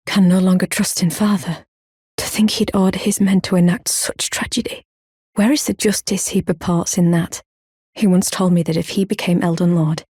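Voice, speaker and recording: A proud, earnest fantasy game warrior, with a lowish, dark and mellow voice. She speaks solemnly in formal, archaic phrasing, measured and stoic. The sound is dry and close. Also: breathy edge, no room sound. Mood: wounded, troubled. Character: proud, earnest woman warrior, grown, stoic and honour-bound. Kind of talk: video game character acting, formal archaic speech.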